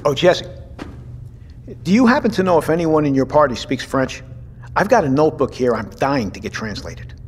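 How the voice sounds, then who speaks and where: Audio drama captain male actor: middle-aged, courteous leader, mid-pitched with lively intonation, long room reverb. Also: faint music behind, close to an everyday voice. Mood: cordial. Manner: measured, thoughtful. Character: measured, courteous male captain and father leading a settlement. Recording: reverberant room with faint noise.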